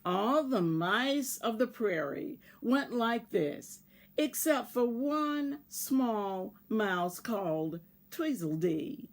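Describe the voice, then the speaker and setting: A woman reading a picture book aloud for children in a measured way, pausing to address young listeners. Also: on the high side, expressive. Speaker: volunteer children's story reader.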